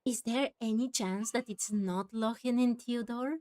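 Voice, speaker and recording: A woman's voice: fairly high pitch, steady tone, measured pace, mellow. A woman hosting a game playthrough, musing aloud and adding exclamatory asides as she goes. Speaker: streamer, game playthrough host. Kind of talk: gaming commentary mixed with reading dialogue.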